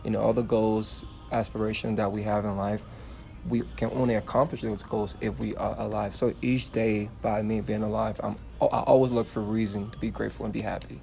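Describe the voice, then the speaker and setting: A man's voice: fairly low pitch, little pitch movement, brisk, gravelly, dark tone, faint music behind. A man from Liberia with a Liberian accent, a guest in casual chat, upbeat and friendly, often saying "you know". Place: bookstore children's section.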